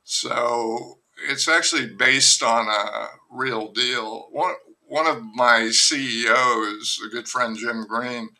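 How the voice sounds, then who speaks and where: An older man, a novelist guest, talking in a rambling, wry way with many fillers and restarts in a relaxed podcast conversation. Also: medium pitch, slow pace, hardly any pauses, rough recording.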